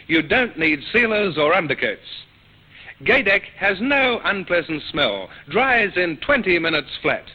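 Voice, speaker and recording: A man reading a scripted commercial as an announcer on old-time radio. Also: a little high. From Australia. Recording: dull, husky-sounding old radio recording.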